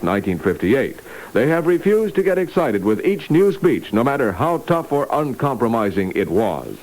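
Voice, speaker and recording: A male news anchor from 1960s radio reads scripted copy. His mid-pitched voice swings widely in intonation, on a vintage AM aircheck recording. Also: darkish tone, poor audio.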